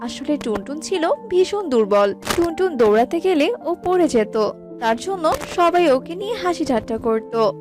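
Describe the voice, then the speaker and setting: A woman's voice: sits high, expressive, normal speed, music in the background. A woman narrating a children's cartoon story in a scripted storytelling style.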